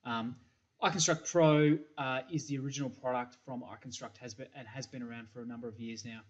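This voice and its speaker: Halting Australian man on a webcam. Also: mid-range voice, steady tone. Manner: hesitant, many ums and repeated words. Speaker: software company principal presenting. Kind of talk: recorded product presentation. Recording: slightly dull webcam audio.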